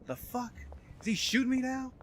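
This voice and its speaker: A man's voice: very high-pitched, moderate pace, a bit bright, a little hiss or hum. A man in his forties doing casual live gameplay commentary while chatting with viewers, swearing freely. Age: 40s. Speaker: video game streamer.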